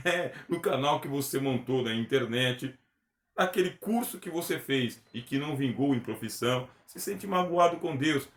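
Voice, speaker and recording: A man's voice: medium pitch, a bit bright. A man teaching a recorded theology lecture, earnest and emphatic, often asking rhetorical questions. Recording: echoey room.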